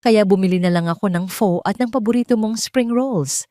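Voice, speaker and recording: A woman voicing a gentle, worried young man who speaks with soft concern in an audio drama. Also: mid-range voice, normal speed, no room sound, studio quality, voice pushed up, lightly acted.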